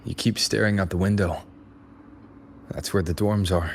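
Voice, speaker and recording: Low-voiced, earnest young game hero. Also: male voice, fairly even intonation, moderate pace, a little hiss or hum. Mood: reassuring, earnest. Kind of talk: video game voice acting.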